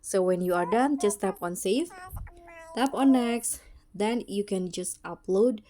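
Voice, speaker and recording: Female tutorial narrator: unhurried, relaxed tone, somewhat halting, casual instructional read. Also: medium pitch. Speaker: tutorial host.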